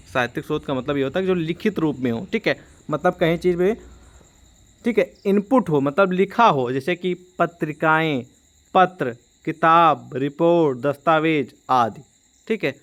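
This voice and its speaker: Guy teaching exam prep, kinda high voice, keeps rephrasing and checking you got it. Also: fairly bright tone. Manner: explanatory, repeats and rephrases points, frequent check-in tags. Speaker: online history teacher. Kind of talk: informal exam-prep lesson.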